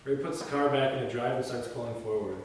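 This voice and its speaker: A man narrates a screenplay read-through, giving scene headings and action. His mid-pitched voice is far from the mic in a room with long, heavy echo. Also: quick pace. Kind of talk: reading screenplay directions aloud. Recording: echoey hall from far off, faint noise.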